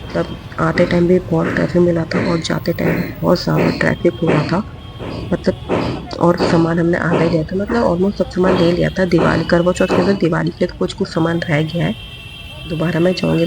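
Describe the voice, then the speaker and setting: A hoarse woman vlogger chatting casually in a voice-over about a shopping trip, repeating phrases for emphasis. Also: lowish voice, level tone, fairly quick, low-quality recording.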